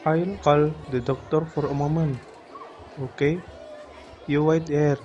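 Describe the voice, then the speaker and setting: A man playing a caring, gentle young friend in a student radio drama, speaking considerately. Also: on the high side, fairly even intonation, a little slow, dull audio, some chatter behind, a little hiss or hum, lightly acted. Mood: concerned.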